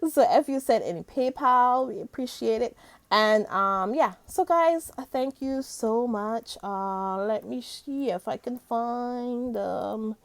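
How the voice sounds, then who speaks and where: Female commentary host: slightly high voice, informal, chatty delivery straight to the audience, very dry recording. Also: animated, takes it slowly, dry recording. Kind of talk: casual rambling chat to viewers.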